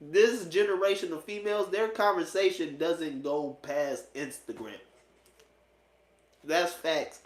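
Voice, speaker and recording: A man's voice: on the high side, a little slow. A man in his late twenties giving a blunt, slangy, profane opinion monologue, casually repeating phrases for emphasis. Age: late 20s. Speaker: vlogger giving dating opinions. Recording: echoey, roomy sound.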